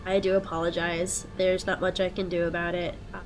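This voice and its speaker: A young woman with a head cold and a stuffed-up nose, chatting casually through gameplay and thinking out loud with plenty of ums. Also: on the low side, fairly quick, slight background noise, poor audio. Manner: relaxed, thinking aloud with frequent ums. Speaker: let's play host.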